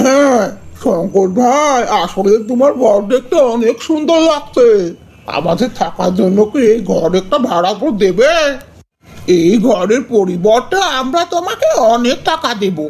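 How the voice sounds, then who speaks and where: A woman's voice: a little high, very animated, moderate pace, darkish tone. A woman voicing an effusive, polite, admiring villager in a cartoon fairy tale. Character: admiring villager eager to rent a house.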